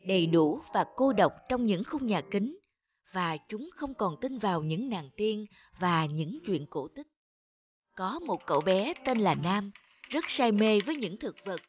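A woman's voice: medium pitch, highs cut off. A woman reading scripted storybook narration as the narrator of a children's tale.

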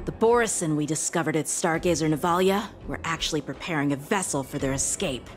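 A woman's voice: sits low, a little fast, faint music behind, lightly acted. Woman as eager teen knight, earnest, questioning, light score behind. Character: eager young male knight, bold and quick to question. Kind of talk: game cutscene voice acting.

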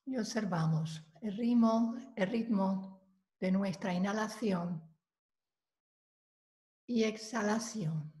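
A woman leading a guided meditation, giving calm, measured instructions with long pauses between phrases. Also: mid-range voice. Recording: roomy, echoing voice recording.

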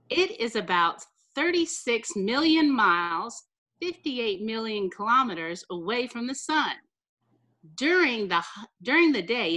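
A middle-aged American woman, a teacher reading a picture book aloud to children with careful, deliberate enunciation over a video call. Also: on the high side, pushed and bright. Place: small room indoors, on a video call.

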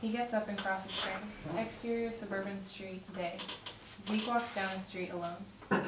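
A woman's voice: mid-range voice, steady tone, unhurried. A young woman reading a script aloud and chatting casually at a table read. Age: young adult. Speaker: cast member reading stage directions at a table read. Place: home dining room. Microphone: far camera. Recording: distant mic, echoey room, loud noise.

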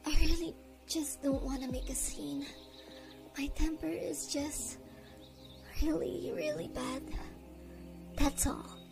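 A woman voicing an obsessive, possessive young woman in an audio roleplay, talking in soft whispers with giggles. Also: high-pitched, steady tone, takes it slowly, vocal fry, long pauses, music in the background, exaggerated voice. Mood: threatening.

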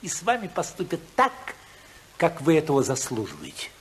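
A man's voice: on the high side, wildly swooping pitch. An actor playing a domineering, ruthless older tycoon father in 1950s radio drama, speaking in an imperious, oratorical manner. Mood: threatening. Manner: imperious, oratorical, slow and emphatic.

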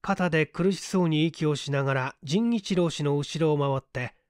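A man's voice: a little high, normal speed, clear, resonant tone, no room sound, clean studio sound. A man reading literary fiction aloud as scripted audiobook narration. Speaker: audiobook narrator, stage actor.

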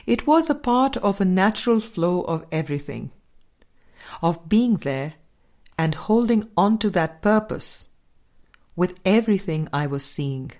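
A woman reads a book aloud as narrator at a very unhurried pace. Her voice sits a little low, and her delivery is scripted and measured. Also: lively intonation, a little mellow. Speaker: audiobook narrator.

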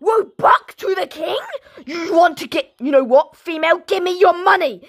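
A young woman giving excitable running commentary on her gameplay, repeating herself and shouting, her throat sore and coughing. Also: very high voice, moderate pace. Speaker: gaming channel host. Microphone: phone.

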